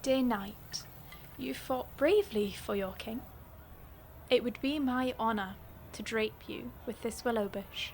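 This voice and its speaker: A woman's voice: a little high, a little slow, noisy background. A woman playing a compassionate young princess in an audio drama, speaking with proper, pleading earnestness. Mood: formal, gracious.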